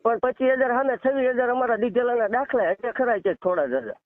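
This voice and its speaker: A man's voice: very high-pitched, fast talker, no echo. A man with a Saurashtra Gujarati accent arguing defensively on a phone call, insisting and cutting in. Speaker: shrine keeper answering a caller. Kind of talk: heated phone argument. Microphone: telephone. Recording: tinny narrow-band phone call recording.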